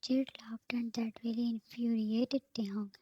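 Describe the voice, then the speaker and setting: A woman's voice: on the high side, flat delivery, a little slow, highs cut off, a little hiss or hum. Woman reading a story in a whisper. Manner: soft unvoiced whisper throughout. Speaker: narrator. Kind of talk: whispered fan fiction reading.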